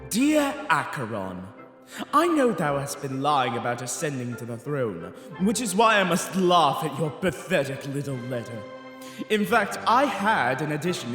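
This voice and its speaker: A haughty young aristocrat in a comedic animated parody. The voice is high and swings dramatically, vain and quick to take offence, with stiff old-fashioned diction over light background music. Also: male voice. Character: pompous, vain, easily offended young nobleman, eighteen. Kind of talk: comedic fan-dub voice acting.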